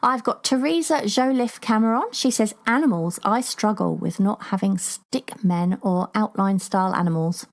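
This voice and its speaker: A woman's voice: medium pitch, moderate pace, few pauses. A woman in her forties co-hosting a podcast, reading out listener comments and teasing her co-host with a giggle. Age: 40s. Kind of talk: casual chat.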